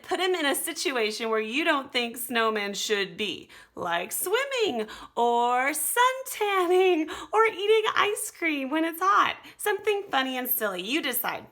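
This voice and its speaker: A woman's voice: sits high, pushed and bright. A woman teaching an art activity to children step by step, speaking directly to her young viewers in an encouraging, instructional way. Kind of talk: step-by-step drawing lesson for children.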